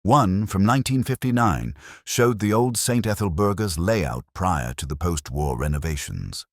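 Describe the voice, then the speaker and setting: Very deep husky male story narrator. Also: lively intonation, moderate pace, no room sound, clean studio sound. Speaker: audiobook narrator. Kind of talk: scripted mystery story narration.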